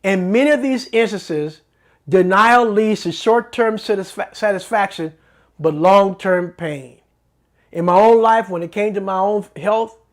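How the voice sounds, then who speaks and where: An earnest, middle-aged host of a faith-based talk show delivers a teaching monologue. The delivery is preacherly, and key phrases are repeated for emphasis. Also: mid-range voice.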